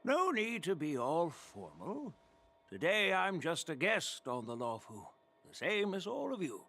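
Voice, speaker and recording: A genial old general from a video game. His voice sits a bit high and moves with lively melody, humble and informal despite his rank. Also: measured pace, full character voice.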